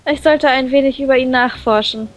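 A woman's voice: high voice, fairly quick. A playful woman reading a visual novel expressively for a let's play, with casual banter in between. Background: background game music.

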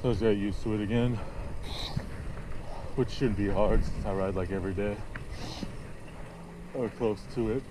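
A man's voice: on the low side, fairly even intonation, normal speed, pauses often, noisy background. A man casually narrating a bike ride outdoors, rambling and searching for words, recorded on a bike-mounted action camera. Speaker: cycling vlogger. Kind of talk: casual narration while riding. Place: outdoors on city streets, riding a bike.

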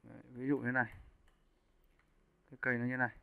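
A man giving a casual, step-by-step software tutorial as an animation instructor. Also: mid-range voice, completely flat delivery, fast, vocal fry, clean tone, no room sound. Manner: informal step-by-step explaining. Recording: muffled narrowband phone-like audio.

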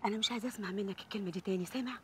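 A woman's voice: medium pitch, very fast, fairly bright tone, a little hiss or hum, lightly acted. An actress playing a young woman in love who speaks tenderly to her sweetheart, with an Egyptian accent. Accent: Egyptian Arabic. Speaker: Nora.

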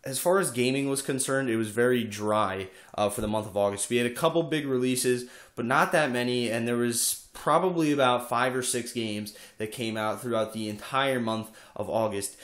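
A man's voice: mid-range voice, normal speed, hardly any pauses, a bit bright. A young man with a cold and a stuffy nose, chatting casually with lots of "uh" fillers while showing off his game collection in an echoey room at home. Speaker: video game collector vlogger. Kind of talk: casual show-and-tell.

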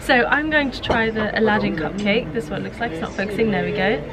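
A woman's voice: medium pitch, animated, brisk, loud music behind the voice. A woman hosting a casual food vlog, chatting and reviewing dishes on a handheld camera. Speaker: vlog host. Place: busy buffet restaurant. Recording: noisy, crowded restaurant ambience.

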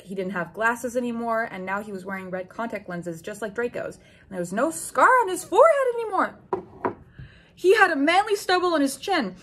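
A woman's voice: medium pitch, fairly bright tone. A woman in her twenties reading fanfiction aloud at home, with theatrical, incredulous, sweary comic commentary. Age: 20s. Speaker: YouTube host. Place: small home room with bookshelves, indoors.

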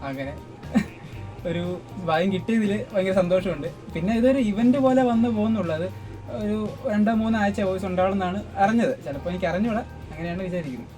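A man's voice: high voice, fast, over music. A man chatting casually as an interview guest in a dubbing studio. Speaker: interviewee, sound engineer and dubbing artist. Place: small dubbing studio suite.

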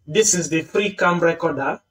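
A man's voice: on the high side, moderate pace, fairly bright tone, far from the mic. A man hosting a screen-recorded tutorial, patiently explaining each step. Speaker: tutorial host.

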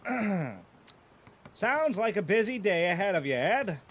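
A man's voice: high voice, very animated, unhurried, a bit bright, breathy edge, heavily acted. An actor voicing a cocky male cartoon dog who teases and wisecracks in a dry way.

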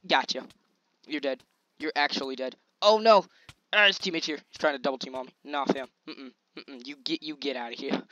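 A young man hosting his own gaming channel, commentating gameplay in a chatty, slangy, excitable way, his voice a little scratchy. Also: a little high, lo-fi sound. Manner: excitable, chatty, slangy hype while playing. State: slightly scratchy voice.

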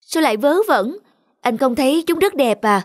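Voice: high voice, expressive, normal speed, dry recording, studio quality. A performer voicing an enthusiastic little boy in a cartoon, with an eager, earnest, childlike manner. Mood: eager, proud. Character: enthusiastic little boy who collects stones. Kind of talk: Vietnamese cartoon voice acting.

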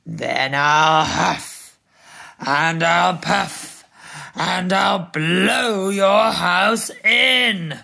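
A big bad wolf from a children's storybook, acted out by a woman, speaks in menacing, drawn-out threats. The pitch sits low and the pace is very slow, with a creaky growl at the edges. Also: bright, forceful tone, exaggerated voice. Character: big bad wolf, menacing male predator demanding entry.

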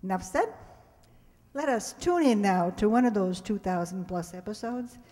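An older woman, around her seventies, introduces a show from a script. Her pitch is average and her delivery measured with dry asides, carried through a stage microphone into a reverberant hall. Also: lively intonation, dark tone. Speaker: presenter introducing a stage radio play. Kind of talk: scripted introduction read to an audience. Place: stage in a community hall, indoors. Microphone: handheld-style microphone on a stand.

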